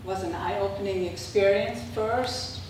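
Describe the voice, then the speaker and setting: Older female presenter, native Japanese speaker with Japanese accent, measured halting delivery, far from the mic with clear background noise. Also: mid-range voice, steady tone, a little mellow, heavy reverb. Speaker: presenter, traditional Japanese dancer. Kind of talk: prepared autobiographical slide talk.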